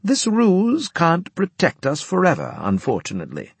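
A man voicing a formal male officer giving a military briefing in an audiobook, emphatic and measured. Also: fairly high pitch, wildly swooping pitch, vocal fry, husky, dry recording, pitched above the performer's own voice, heavily acted. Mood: grave, formal. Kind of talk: audiobook character dialogue.

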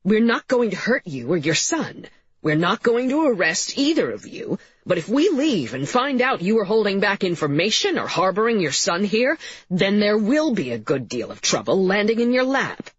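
A woman's voice: mid-range voice, sing-song, moderate pace, slightly rough, dry recording, dull audio, pitched above the performer's own voice. A woman voicing a male investigator in an audiobook, weary and firm, with a blunt, controlled way of talking. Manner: blunt, controlled, formal when questioning.

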